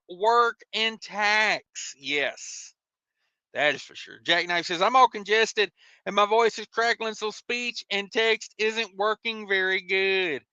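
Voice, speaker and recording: American man, high-pitched, folksy rambling livestream host, casual chat with viewers, close dry sound, slightly lo-fi. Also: pushed and bright, clear, resonant tone, no room sound. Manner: folksy, rambling, colloquial speech. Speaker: livestream host, truck driver. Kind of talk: casual live chat, reading out viewer comments and replying.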